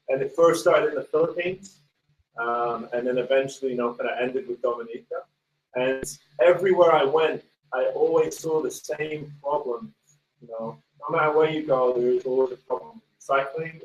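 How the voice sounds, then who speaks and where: Man guest, slow and measured; echoey, off-mic. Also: mid-range voice. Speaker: guest, nonprofit founder. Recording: echoey room, distant from mic.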